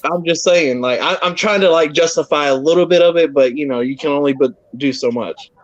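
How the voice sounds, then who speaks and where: A man's voice: fairly high pitch, a little fast, dry recording, highs cut off. A man in his thirties, from the United States, chatting casually as a co-host and rambling with frequent restarts. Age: 30s. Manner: rambling, hesitant, many restarts. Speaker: co-host of a pet keepers' live show. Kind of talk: casual group chat.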